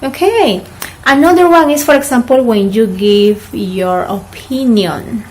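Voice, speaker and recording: A woman teaching English pronunciation, deliberately repeating words for learners to copy. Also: on the high side, slight background noise. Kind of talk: pronunciation drill for students.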